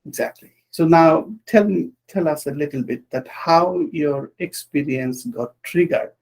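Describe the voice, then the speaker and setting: Male host from Bangladesh, husky, raised voice, halting, earnest. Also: a little high, darkish tone, poor audio. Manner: earnest, halting with restarts and fillers. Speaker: podcast host. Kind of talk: conversational interview.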